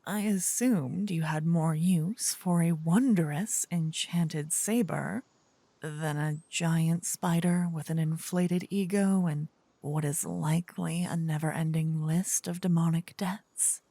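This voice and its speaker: A woman's voice: sits low, steady tone, mellow, no room sound, full character voice. A woman voicing a seductive, possessive demon queen in an intimate fantasy ASMR roleplay, often whispering, with teasing, smug menace. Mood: smug, explaining. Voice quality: breathy, husky, often whispering. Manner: slow, teasing, doting menace. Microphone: close, dry microphone.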